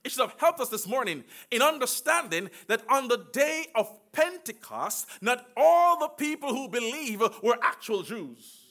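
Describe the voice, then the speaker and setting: High, bright-voiced male preacher. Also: very animated. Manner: emphatic preaching with call-and-response, sudden slow drawn-out phrases and shouts. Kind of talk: sermon.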